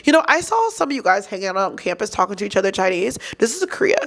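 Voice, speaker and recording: A blunt, scolding male professor lectures his class with curt, sweeping remarks, as a woman quotes him in her own voice. He speaks quickly, and his pitch rises and falls as he talks. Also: sing-song, a bit bright, full character voice.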